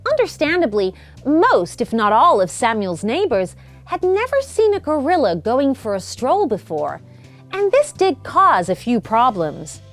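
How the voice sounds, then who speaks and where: A woman reading a children's bedtime story as an expressive narrator. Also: sits high, a little slow. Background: music.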